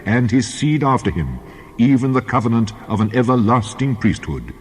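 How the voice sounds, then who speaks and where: A man's voice: medium pitch, big pitch swings, moderate pace, husky. A man playing a solemn, stern God who proclaims with weighty authority in a dramatized Bible reading. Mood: solemn, approving. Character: God, solemn commanding male deity, stern and wrathful. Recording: long cavernous reverb.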